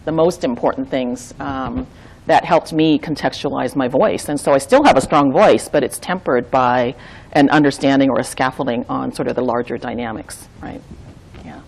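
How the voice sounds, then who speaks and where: A woman's voice: a bass voice, moderate pace, creaky, a little mellow, rough recording. A woman who trains dialogue facilitators, talking earnestly and reflectively, thinking aloud with restarts and frequent "right?". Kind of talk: reflective conversational talk.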